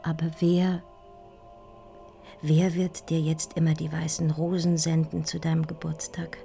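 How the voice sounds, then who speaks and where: An actress playing a heartbroken, dying woman in an intimate radio drama monologue, speaking in halting, feverish, anguished whispers with repeated words. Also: sits low, level tone, vocal fry, dark tone, soft background music. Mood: whispered pleading. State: feverish, weak, grieving. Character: heartbroken dying woman writing a farewell love letter.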